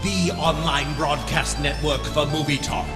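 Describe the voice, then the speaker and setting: A man's voice: fairly high pitch, fairly even intonation, measured pace. A man delivering a scripted show intro as a grandiose, mock-epic announcer with dramatic emphasis. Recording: echoing hall reverb over loud music. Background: loud intro music.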